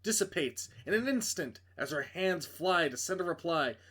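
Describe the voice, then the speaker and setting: Higher-pitched man doing a game playthrough. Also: expressive, normal speed, bright, forceful tone, slightly rough. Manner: reads narration dramatically, stumbles and breaks off for blunt asides. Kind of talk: let's play, reading story text aloud with casual commentary.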